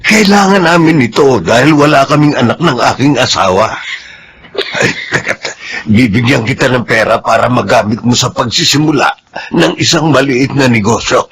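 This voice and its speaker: A Filipino actor playing a brisk, eager childless married man in a radio drama. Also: mid-range voice, a bit bright, close to an everyday voice.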